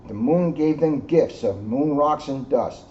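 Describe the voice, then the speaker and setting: A man in his sixties, a police officer reading aloud to children and commenting informally in an earnest, expressive way. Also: fairly high pitch, measured pace. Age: 60s. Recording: muffled, noisy amateur video sound.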